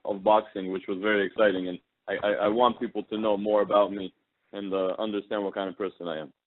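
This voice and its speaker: Male interpreter, lowish pitch, hesitant delivery, narrow telephone sound. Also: level tone, fast talker. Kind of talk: consecutive interpreting on a press call. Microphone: telephone conference call. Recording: tinny phone line, faint noise, clicks.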